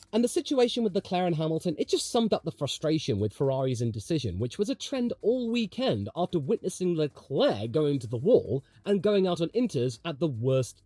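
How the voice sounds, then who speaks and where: A man's voice: a little high, a little mellow, dry recording. A man hosting motorsport commentary, delivering opinionated scripted analysis with emphatic rhetorical questions and wry asides. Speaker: host of motorsport commentary show.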